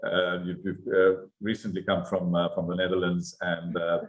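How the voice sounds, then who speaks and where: A man moderating a roundtable panel, introducing the guests in a halting way with many fillers. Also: sits low, little pitch movement, rough recording.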